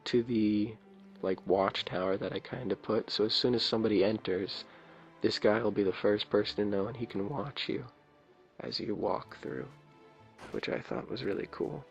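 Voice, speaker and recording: A man with a low raspy voice giving rambling, playful ASMR commentary as he plays a video game. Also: normal speed, muffled recording, soft background music. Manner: rambling, playful, jokingly self-important. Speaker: game streamer. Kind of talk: ASMR let's play commentary.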